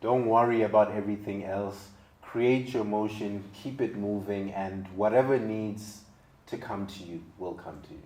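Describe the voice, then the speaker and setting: A man's voice: on the low side, moderate pace, darkish tone. A man hosting a casual interview in an encouraging, affirming way. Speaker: interview host. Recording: roomy, echoing sound.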